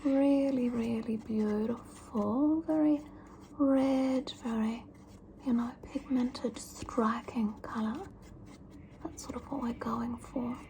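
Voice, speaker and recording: A woman doing a whispered ASMR roleplay as a fussy, pampering mother who calls her child darling and scolds her gently. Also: on the high side, a little hiss or hum. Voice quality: soft whisper. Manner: doting yet scolding, lingering and drawn-out.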